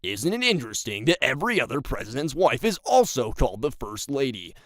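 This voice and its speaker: A man's voice: mid-range voice, sing-song, brisk, a bit bright, no room sound, clean studio sound, pitched above the performer's own voice. A man voicing a pompous conspiracy theorist who argues insistently with mock logic. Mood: smug, insistent. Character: pompous male conspiracy theorist denying women exist.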